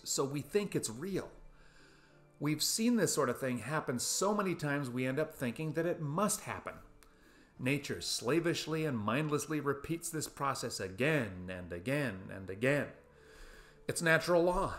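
A man in his fifties giving a scripted, essay-like talk to camera, asking rhetorical questions with playful emphasis. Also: fairly high pitch. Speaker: video essayist. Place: small room at home, indoors.

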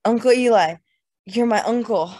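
Lady doing a drunk hot-tempered guy in a story, loud, braggy and slurry, pitched up. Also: animated, normal speed. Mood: maudlin wailing. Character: loud, drunk, volatile man, swinging from boasting to rage. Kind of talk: dramatized literary audiobook dialogue.

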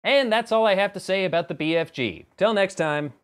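A man's voice: high voice, brisk. A man in his thirties giving a casual, wry film review to camera in a room at home, stressing and drawing out words. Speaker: film reviewer, vlog host. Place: small home room with computer desk, indoors.

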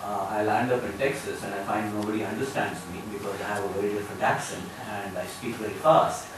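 A man in his thirties with an Indian accent, giving measured, hesitant remarks as a panelist at a conference table. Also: fairly low pitch, fairly even intonation. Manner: measured, hesitant with many fillers. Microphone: table mic, far camera. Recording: echoey hall, distant mic, noise.

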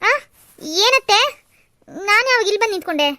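Woman as a meek, dazed young bride; high, quick, dry. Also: expressive, bright, forceful tone, dry recording, exaggerated voice. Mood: confused. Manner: dazed, pleading. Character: young confused daughter-in-law, meek.

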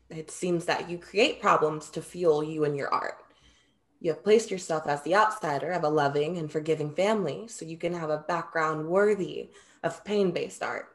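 A young woman acts out a perceptive muse in a play reading. The voice is on the low side, with formal, measured phrasing and a frank, composed manner. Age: young adult. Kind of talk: virtual staged play reading. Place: small room at home, video call. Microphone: video call.

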